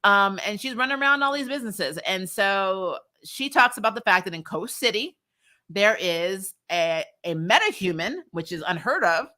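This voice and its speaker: A woman's voice: medium pitch, expressive, moderate pace, bright, forceful tone. A woman hosting a recap show, reviewing in a casual, chatty, slangy way and animatedly retelling things. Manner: chatty, slangy, punctuated with laughter.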